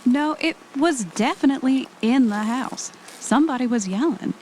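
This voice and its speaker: A woman's voice: high voice, normal speed, darkish tone, a little hiss or hum, close to an everyday voice, low-quality recording. A woman playing an anxious but adventurous podcaster on vacation in an audio drama. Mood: puzzled, insistent.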